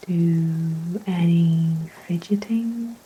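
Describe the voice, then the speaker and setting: A woman guiding a sleep hypnosis relaxation in a soft, whispery, gentle and soothing voice, with long unhurried pauses. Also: fairly low pitch, husky, muffled recording. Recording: steady rain sounds behind voice. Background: rain ambience.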